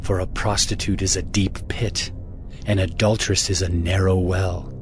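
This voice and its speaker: A man with a fairly low voice reads scripture in a dramatized audiobook style. He delivers it as earnest, fatherly counsel, over background music. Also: little pitch movement, normal speed. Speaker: scripture narrator.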